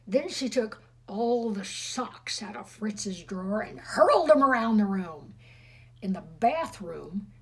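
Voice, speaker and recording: A woman's voice: medium pitch, slightly rough. A woman reading a storybook aloud expressively to children, with chatty, teasing asides to her listeners.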